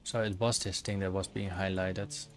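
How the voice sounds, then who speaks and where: A man in his thirties with a Dutch accent chats casually to his stream viewers in a deep, low voice. He talks in halting bursts, full of fillers and restarts as he thinks aloud. Quiet game music plays behind him. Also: little pitch movement. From Netherlands. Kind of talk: casual livestream commentary while gaming.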